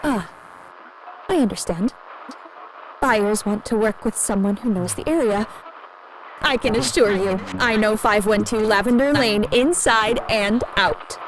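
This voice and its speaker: An actress playing an eerily cheerful, inhuman realtor in an audio drama, answering with polite, evasive sales patter. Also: female voice, medium pitch, a little slow, long pauses, close to an everyday voice. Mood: pleasant, evasive. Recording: noisy interview recording with ambience.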